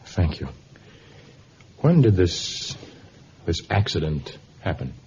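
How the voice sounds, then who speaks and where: A man acting an earnest, polite jungle hero in a vintage radio drama, asking questions in a halting way. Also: low voice, very animated, warm tone, exaggerated voice. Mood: grave, probing. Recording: muffled, hissy old radio recording.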